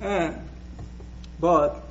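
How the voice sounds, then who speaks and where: Slow, hesitant Puerto Rican preacher. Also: male voice, a little high, muffled recording, slight background noise. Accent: Puerto Rican Spanish. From Puerto Rico. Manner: slow, hesitant, with many restarts. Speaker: guest preacher. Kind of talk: personal testimony in church.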